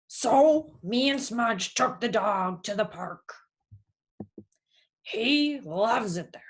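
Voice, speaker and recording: A woman voicing a weary out-of-work father in a storybook, downbeat and plain-spoken with faint hope. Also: mid-range voice. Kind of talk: storybook read-aloud.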